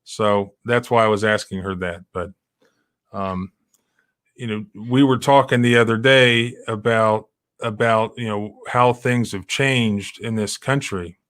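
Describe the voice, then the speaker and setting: A man's voice: fairly low pitch, steady tone, measured pace, a bit bright. A middle-aged man hosting a live stream, talking in a rambling, emphatic way with many restarts. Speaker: civil rights lawyer hosting a live stream. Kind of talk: casual live-stream commentary.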